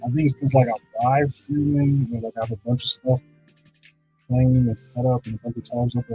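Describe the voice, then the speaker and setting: A man with a deep voice hosting a live trading stream, talking casually through charts and often checking in with "right?". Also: steady tone, a little fast, dark tone, faint music behind, poor audio. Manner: loose, repeats "right?" to check in with viewers. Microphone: streaming setup microphone.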